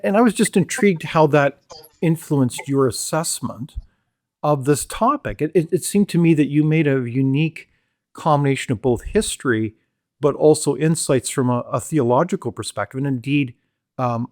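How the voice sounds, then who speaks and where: A man's voice: medium pitch, moderate pace, no room sound, studio quality. A man hosting an interview, asking formal, admiring questions with a few stumbles. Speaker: interview host.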